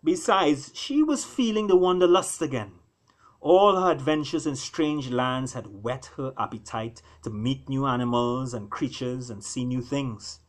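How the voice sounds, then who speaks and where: A man, an author reading his own work, narrates an animal fable expressively, with deliberate, dramatic phrasing and pauses. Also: medium pitch.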